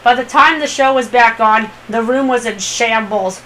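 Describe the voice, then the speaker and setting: A woman narrates a story as an amateur reader. Her voice is a little high and she tells it with drama. The recording carries some faint noise. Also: moderate pace, a bit bright, rough recording. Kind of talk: dramatic fan fiction narration.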